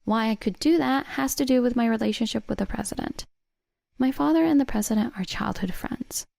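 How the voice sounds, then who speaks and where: A woman reading a scripted first-person story in a measured way as its narrator. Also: on the high side, gravelly, darkish tone, dry recording, studio quality.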